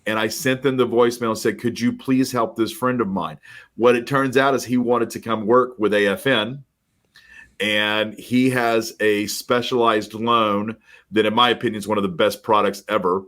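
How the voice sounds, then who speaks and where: A middle-aged man co-hosting a mortgage podcast, talking in an energetic, emphatic storytelling way. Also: on the low side, moderate pace. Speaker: podcast co-host, mortgage professional. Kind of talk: casual chat.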